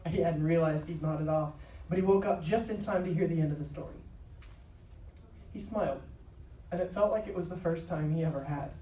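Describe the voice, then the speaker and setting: A woman's voice: deep voice, brisk, pauses often, warm tone. A woman narrator reading a scripted dramatic story live. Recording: echoey live stage, distant noisy mic.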